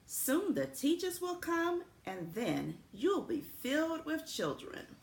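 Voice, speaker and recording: Storybook janitor, kindly man read by a woman: reassuring, unhurried, animated intonation, echoey room. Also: sits high, vocal fry, voice pushed up, heavily acted. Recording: roomy echo with faint background noise.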